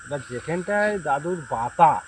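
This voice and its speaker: A man acts out a village ghost storyteller with a slightly high voice. He builds suspense in pauses and keeps checking that his listeners follow, as in an amateur drama. The outdoor night recording has insect noise behind it. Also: expressive, natural voice, rough recording. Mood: reminiscing. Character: elder male friend telling a village ghost story, secretly a ghost. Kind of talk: acted conversational ghost storytelling.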